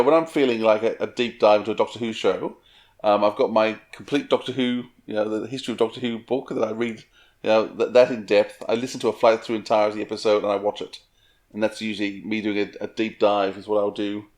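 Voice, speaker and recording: A man's voice: on the low side, a little mellow. A man, co-hosting a podcast in casual conversation. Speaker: podcast co-host. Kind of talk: casual chat.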